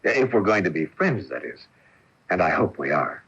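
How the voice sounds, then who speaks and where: On a muffled old radio recording, a courtly, enigmatic gentleman of about forty. His voice is deep and husky, with very expressive melody. He phrases everything with polished, old-fashioned charm. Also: moderate pace, heavily acted. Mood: courtly, wooing. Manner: suave, formal, old-fashioned turns of phrase. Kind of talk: 1980s radio mystery drama acting. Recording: dull, lo-fi AM radio tape.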